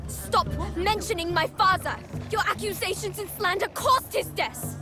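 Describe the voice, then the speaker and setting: A woman playing a sly, defiant young pirate's daughter in a video game, sharp-tongued and playfully sweet. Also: very high voice, unhurried, over music. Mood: indignant, defiant. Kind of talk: dramatic game voice acting.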